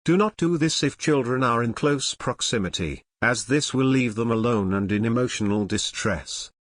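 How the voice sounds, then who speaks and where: A man reading a scripted emergency broadcast as an announcer, in a formal, solemn and measured manner. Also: mid-range voice, lively intonation, fairly bright tone, no room sound, studio quality.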